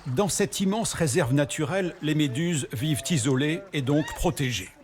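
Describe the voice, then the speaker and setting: A man's voice: a little high, expressive, normal speed, a crowd in the background. A man narrating a scripted travel report as a television news reporter. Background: background music.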